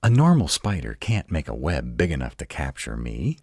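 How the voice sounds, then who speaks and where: A man playing a gruff, protective, uptight male were-dragon in an intimate roleplay audio drama, halting and dramatic. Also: rumbling low, wildly swooping pitch, darkish tone, dry recording, studio quality, exaggerated voice. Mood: agitated, incredulous. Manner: halting, dramatic, slow delivery with emphatic outbursts.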